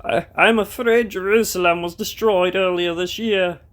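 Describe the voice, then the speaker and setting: An actor playing a treacherous warrior prince in a Bible audio drama, smooth-talking and falsely deferential. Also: male voice, sits high, steady tone, normal speed, a bit bright. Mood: feigned sorrow. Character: treacherous, scheming male warrior prince feigning courtesy.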